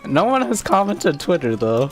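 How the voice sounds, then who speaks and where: A man chatting casually on a game livestream, halting and often repeating himself. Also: on the high side, very animated. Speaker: game streamer. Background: faint game music.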